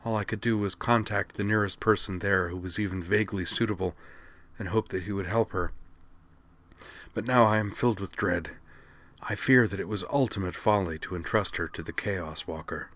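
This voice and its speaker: A man voicing a tiny, haggard male master mage in a fantasy story, soft and raspy, speaking formally and gravely. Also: low-pitched, moderate pace. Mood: anxious, insistent. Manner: formal, solemn, earnest speech. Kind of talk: fantasy audiobook character dialogue.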